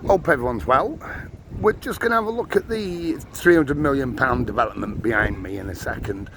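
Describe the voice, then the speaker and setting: A man's voice: mid-range voice, lively intonation, a little fast. Older man, chatty street walk. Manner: chatty, rambling, self-mocking. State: squeaky, strained voice. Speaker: walking vlogger. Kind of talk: casual walking tour commentary. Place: outdoors on busy town streets. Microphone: handheld camera on a gimbal. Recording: outdoor street noise.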